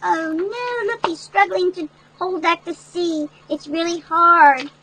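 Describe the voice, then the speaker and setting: An older woman reading a picture book aloud to children in an animated, folksy way, with chatty asides that explain things. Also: extremely high pitch, takes it slowly, slight background noise, rough recording. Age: older, great-grandmother. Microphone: headset.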